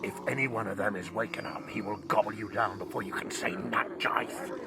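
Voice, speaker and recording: Man playing a creaky, kindly giant. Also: lowish voice, fairly quick, heavy background noise, lightly acted. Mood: calm, cautioning. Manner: folksy, mangled grammar and invented words. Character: kindly, wily old friendly giant. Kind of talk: full-cast audiobook drama acting.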